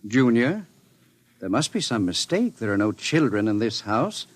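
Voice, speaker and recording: An actor playing a proud, secretive older professor in a 1950s radio drama, formal and pedantic. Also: male voice, medium pitch, very animated, normal speed, no room sound. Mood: indignant, guarded.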